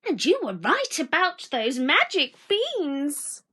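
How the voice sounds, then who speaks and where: A woman playing a hard-pressed, expressive mother in a fairy tale. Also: high-pitched, slow, voice pushed up, full character voice. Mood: relieved, tender. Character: Jack's hard-pressed, exasperated but loving mother.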